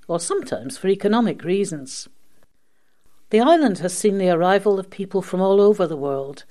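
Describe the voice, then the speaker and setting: A woman's voice: medium pitch, warm tone. A woman presenting a scripted radio magazine programme.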